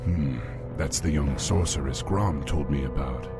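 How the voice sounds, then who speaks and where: An actor playing a stern, resolute young orc war chief in a video game cutscene, measured and commanding. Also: male voice, rumbling low, loud music behind the voice. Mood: confident.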